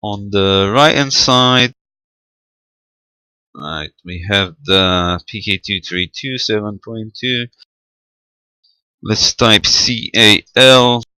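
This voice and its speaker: A man giving an unscripted hands-on technical tutorial as an amateur radio demonstrator, matter-of-fact and halting as he thinks aloud. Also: lowish voice, bright, forceful tone, clear, resonant tone, dry recording.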